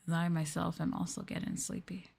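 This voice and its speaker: Girl streamer doing soft asmr talk, slightly low voice, kinda sleepy, just chatting w viewers. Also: huge pitch swings, a little fast, creaky, husky. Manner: soft, gentle talking. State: sleepy, winding down. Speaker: virtual streamer hosting a live stream. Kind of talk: ASMR soft-spoken casual chat with viewers.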